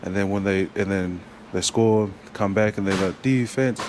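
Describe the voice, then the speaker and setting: Kiwi guy, really low voice, rambles on with lots of likes and ums, slight hiss behind. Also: a little mellow. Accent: New Zealand. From New Zealand. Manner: rambling, halting, many fillers and restarts. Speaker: rugby player guest. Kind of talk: casual interview chat.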